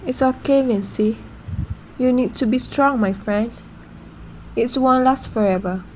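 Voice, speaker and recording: Supportive girlfriend-confidante, played by a woman, high pitched, advising, radio drama with slight noise. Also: unhurried, a little mellow, lightly acted, rough recording. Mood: soothing. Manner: soothing, advising. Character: concerned, steadying best friend, a supportive young woman. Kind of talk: amateur radio drama acting.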